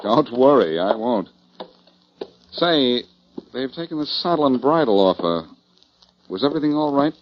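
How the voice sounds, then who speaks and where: Guy playing a wry radio detective, medium pitch, really lively delivery, muffled old recording. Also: moderate pace, pauses often, lightly acted. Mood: probing. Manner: probing, wry. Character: breezy, probing male amateur detective, confident and wry. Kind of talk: 1940s radio detective acting. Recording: muffled lo-fi 1940s radio broadcast.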